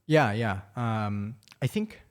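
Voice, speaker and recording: A man's voice: fairly low pitch, slow pace, clean tone. A young man who grew up in Saudi Arabia and speaks Arabic and English from childhood, talking as a podcast guest in a hesitant, easygoing way with many ums. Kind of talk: casual chat.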